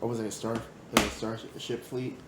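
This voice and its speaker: A man co-hosting a podcast in casual chat, animated and quick to laugh, his voice rising when he teases. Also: on the low side, very noisy. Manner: animated, laughs often, raises voice when teasing. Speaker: podcast co-host. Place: studio.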